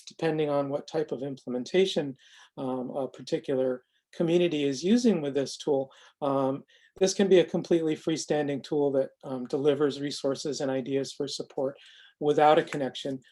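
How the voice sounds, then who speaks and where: A man giving an informal webinar presentation on a video call, explaining things in measured, halting phrases. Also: on the high side, a little mellow. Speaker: presenter of a screening tool demo.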